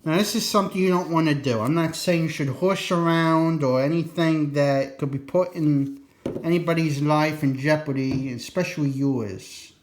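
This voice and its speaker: Guy with kinda higher voice explaining stuff unscripted, folksy, repeats his warnings, rambles some. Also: moderate pace, slight background noise. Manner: rambling, cautionary, repeats himself for emphasis; folksy, colloquial. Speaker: presenter of an instructional radio video.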